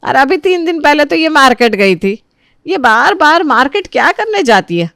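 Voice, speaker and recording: Woman voicing a bossy, suspicious older mother-in-law, high-pitched. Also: very animated, moderate pace, vocal fry, fairly bright tone, no room sound, studio quality, heavily acted. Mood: suspicious, scolding. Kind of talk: dramatized Hindi family story acting.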